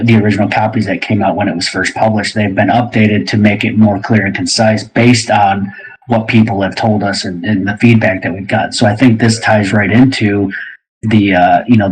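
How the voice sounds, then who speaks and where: Husky, low-voiced man explaining casually. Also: rough recording. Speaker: game book author.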